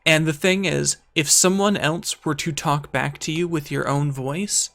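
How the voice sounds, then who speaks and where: An American man with a fairly high voice that has a gravelly, crackly edge. He talks as a guest in an easygoing chat. He is thoughtful and pokes fun at himself. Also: fairly even intonation, measured pace, a bit bright. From United States. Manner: thoughtful, self-deprecating. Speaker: guest YouTuber. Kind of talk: casual conversation.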